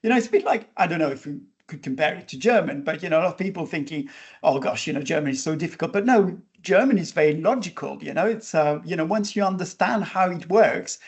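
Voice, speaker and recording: A man's voice: a little high, expressive, quick pace. A man hosting an interview podcast, talking casually with many fillers and restarts. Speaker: podcast host.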